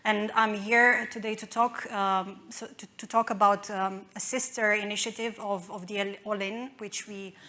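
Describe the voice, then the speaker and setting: A woman's voice: medium pitch, flat delivery, a little slow, hardly any pauses, pushed and bright. A woman in her thirties from Romania with a Romanian accent, giving a conference talk with many fillers and restarts. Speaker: conference speaker.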